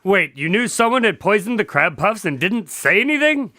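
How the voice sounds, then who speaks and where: An actor playing a polite, long-suffering doctor in a radio drama, often incredulous and hesitant. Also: male voice, high-pitched, very animated, moderate pace, pushed and bright, no room sound, clean studio sound, full character voice. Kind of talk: scripted radio drama acting.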